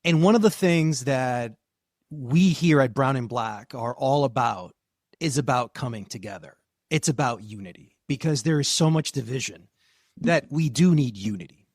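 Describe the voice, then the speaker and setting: A man hosting a podcast, asking measured questions and closing the show. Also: medium pitch, animated, dry recording, clean studio sound. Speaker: podcast host. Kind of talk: podcast interview and outro.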